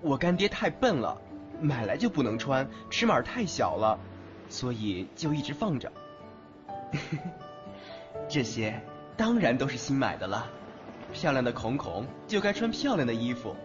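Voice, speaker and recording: A man's voice: medium pitch, big pitch swings, a little slow. A young man playing a caring, playful, coaxing youth in an audio drama. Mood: tender, playful. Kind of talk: Chinese audio drama acting. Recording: dull lo-fi audio with music.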